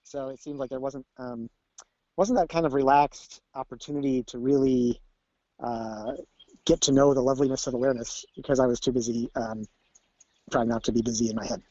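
A man of medium pitch speaks over a slightly dull, dry phone connection. He shares his reflections casually as a caller, hesitating and leaving long gaps while he searches for words. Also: a little fast, darkish tone, dry recording. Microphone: telephone.

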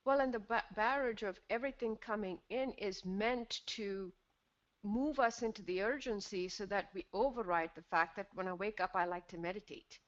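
A woman's voice: medium pitch, normal speed. A woman hosting a call-in show, speaking in an unscripted, reflective conversational style. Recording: slightly dull, band-limited call audio.

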